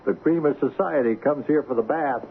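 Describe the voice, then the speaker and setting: A man's voice: mid-range voice, normal speed, natural voice. An actor playing a good-humored middle-aged sheriff and father in a radio drama, jovial and folksy. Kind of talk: 1970s radio drama acting. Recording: muffled lo-fi radio recording with hiss.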